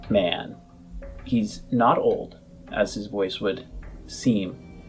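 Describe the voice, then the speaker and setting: A low-pitched man narrates a tabletop roleplaying game as its game master. He speaks very slowly and descriptively, leaving dramatic pauses. Soft music plays behind him.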